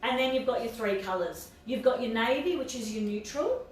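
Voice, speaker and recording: Woman, soft quiet voice, chatty boutique co-host, a bit high, heard from across a bouncy room. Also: lively intonation, fairly quick, very echoey, far from the mic, a little hiss or hum. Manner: chatty, hesitant, lots of fillers. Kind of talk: casual live-stream chat. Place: echoey room. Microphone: no mic, far from laptop camera.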